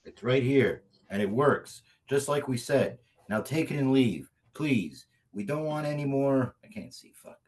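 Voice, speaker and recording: Man as a husky, weary reformed hitman. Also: mid-range voice, expressive, a little slow, hardly any pauses, darkish tone. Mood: exasperated. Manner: casual, profane. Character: reformed hired killer, weary, crude, reluctant fighter. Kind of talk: comedic script table read.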